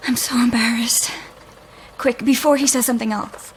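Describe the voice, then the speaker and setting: A woman playing a shy, anxious young honeymooning wife in an audio drama, fretting in a hushed, breathy, naturalistic way. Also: on the high side, steady tone, normal speed, slight background noise, lightly acted. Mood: embarrassed, nervous.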